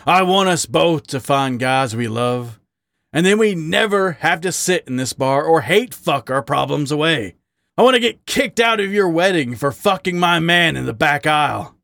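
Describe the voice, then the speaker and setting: A man voicing a bitter, volatile male badger who shouts in anguish and then turns weary. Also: on the high side, lively intonation, moderate pace, creaky, no room sound, voice pushed up. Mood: pleading.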